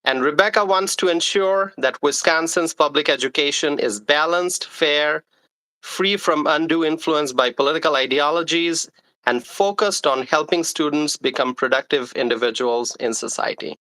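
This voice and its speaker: A man's voice: a little high, moderate pace, pushed and bright, rough recording. A man hosting a live stream, formally reading out his guest's introduction with small stumbles.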